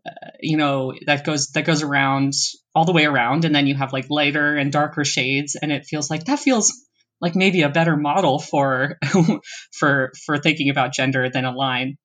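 A young adult from the American East Coast, with a voice sitting right in the middle of the pitch range. They talk in a loose, meandering way during a relaxed interview. They restart sentences often and laugh easily as they go. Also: moderate pace. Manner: thoughtful, rambling, with frequent laughs and restarts. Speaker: interview guest. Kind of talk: casual interview conversation.

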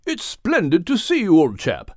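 A courtly old-world whale with a proper English accent, as a storyteller puts him on, his voice climbing and swooping with delight. He talks in formal, cheerful phrases, set a little higher than the narrator's own voice and very dry and close. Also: huge pitch swings, moderate pace, dry recording, exaggerated voice. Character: genteel male right whale, distinguished and courteous.

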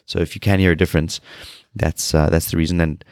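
Deep-voiced guy with a cold, nasal, kinda tired, rambling podcast talk. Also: level tone, fast, creaky, no room sound, studio quality. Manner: low-energy, rambling with restarts. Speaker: podcast host.

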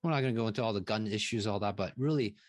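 A man's voice: on the low side, rapid-fire, no room sound, clean studio sound. A man speaking casually and emphatically as a podcast guest, often checking in with tag questions. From Taiwan. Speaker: interview guest, real estate investor and mentor.

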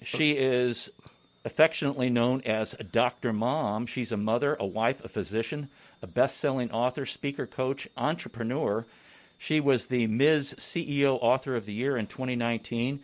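A male talk show host, warm in tone and enthusiastic. He gives a glowing introduction of his guest and keeps the chat moving. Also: medium pitch, normal speed.

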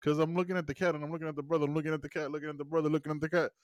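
A man's voice: fairly high pitch, little pitch movement, rapid-fire, dry recording. A man co-hosting a casual show review, telling stories animatedly and often asking "you know what I mean". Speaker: podcast co-host.

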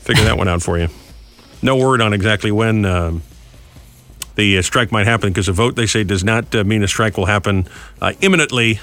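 A man's voice: on the low side, a little fast, a bit bright, soft background music. A man hosting a morning radio show, chatting with callers and co-hosts and reading news in a joking, casual way. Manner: jokey, chatty, laughs often. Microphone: studio mic.

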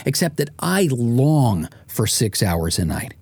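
A man's voice: medium pitch, very animated, slow, a little mellow, husky, dry recording. Man, dry close-miked narration. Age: middle-aged. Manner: wry, dramatic comic emphasis. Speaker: author, podcast host and morning radio presenter. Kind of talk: first-person audiobook narration.

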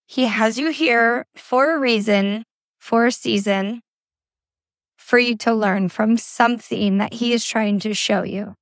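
A young woman with a hoarse, raspy voice left over from a cold speaks slowly and earnestly, coming back to the same encouragement again and again. Her voice sits a little high, and the recording is dry and close with no room sound. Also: dry recording. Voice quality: raspy, scratchy. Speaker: podcast host. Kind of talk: faith-based motivational podcast talk. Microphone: informal recording setup.

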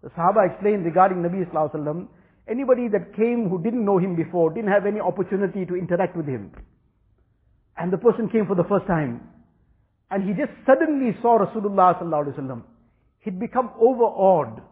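A man's voice: sits high, animated, quick pace. Man, first language Urdu, religious teacher, earnest, repeats for emphasis, tinny phone-like audio. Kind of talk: Islamic talk.

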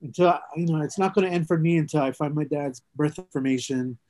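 A man's voice: fairly high pitch, quick pace, darkish tone, dry recording. A middle-aged man from Hawaii, telling his life story in an oral history interview, rambling and reflective with many fillers and restarts.

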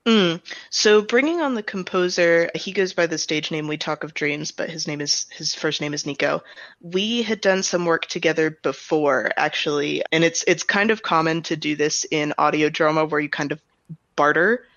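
A woman speaking as an interviewed director, explaining her creative work in a thoughtful, reflective way with softened statements. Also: low-pitched, normal speed, dry recording, muffled recording. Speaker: audio drama director and writer being interviewed.

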